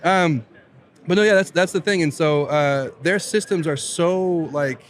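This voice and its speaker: A young man from Southern Virginia, a podcast guest telling his work story in a relaxed, chatty way, often tagging lines with "right?". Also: on the high side, measured pace. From Southern Virginia, USA. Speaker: podcast guest, recruiting founder. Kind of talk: casual interview chat.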